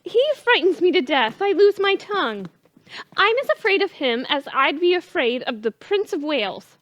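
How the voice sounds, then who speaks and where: A woman's voice: high-pitched, big pitch swings, moderate pace, no echo. A woman playing a timid, reluctant young debutante daughter in a period stage comedy, plainly protesting, recorded with a dull sound. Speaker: stage actress. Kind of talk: theatrical period comedy acting.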